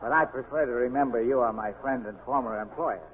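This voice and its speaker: A man's voice: mid-range voice, quick pace, close to an everyday voice. A man acting a boastful, boozy newspaperman in a 1940s radio drama, swaggering and rambling. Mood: mock-dignified, chummy. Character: boastful, boozy American newspaperman, giddy with new money. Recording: noisy, tinny 1940s radio recording.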